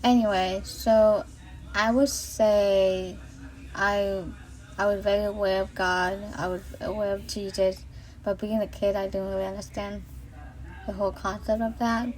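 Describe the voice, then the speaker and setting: A woman's voice: medium pitch, little pitch movement, unhurried, a little mellow, low-quality recording. Earnest woman with a Boston accent. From Boston area, USA. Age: late 30s. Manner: earnest, rambling, many fillers and restarts. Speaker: vlogger sharing her faith testimony. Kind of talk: casual spoken testimony. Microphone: iPhone.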